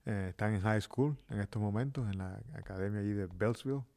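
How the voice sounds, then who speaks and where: Low-voiced middle-aged Cuban pastor. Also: male voice, a little fast, a little mellow. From Cuba. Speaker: pastor, podcast guest. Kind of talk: conversational interview answers.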